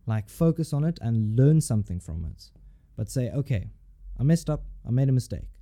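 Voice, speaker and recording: A young man with a South African accent, a university student chatting casually with emphatic, animated energy and casual swearing. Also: medium pitch, moderate pace. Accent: South African English. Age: young adult. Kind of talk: casual chat.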